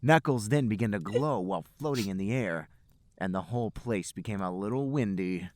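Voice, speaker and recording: A man's voice: lowish voice, normal speed, a little hiss or hum. A man, a podcast host, reading fan fiction aloud as a storybook-like narration.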